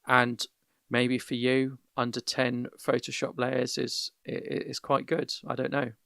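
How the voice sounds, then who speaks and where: A man hosting a casual podcast, giving measured, careful feedback with frequent restarts over an internet call. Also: medium pitch, completely flat delivery, gravelly, a bit bright, no echo, studio quality. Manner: measured, many restarts, gives constructive feedback. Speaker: podcast host. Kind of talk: casual conversational critique. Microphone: voip call.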